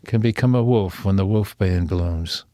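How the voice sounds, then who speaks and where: A man's voice: low voice, moderate pace, a crowd in the background. A man chatting on a community radio show, playful and wry, teasing the others. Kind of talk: casual radio chat.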